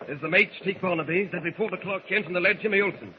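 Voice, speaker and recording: A man acts a ruthless sailor leading a mutiny in an old radio play. He snaps out orders and threats quickly in a high-pitched, bullying voice. The recording is dull, with clear hiss. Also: natural voice. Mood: commanding. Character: bossy, ruthless male mutineer ringleader, rough sailor. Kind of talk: 1940s radio adventure acting.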